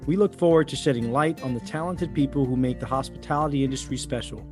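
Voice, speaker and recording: A man's voice: mid-range voice, fast talker, darkish tone. A man reading a scripted podcast introduction as co-host. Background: guitar music.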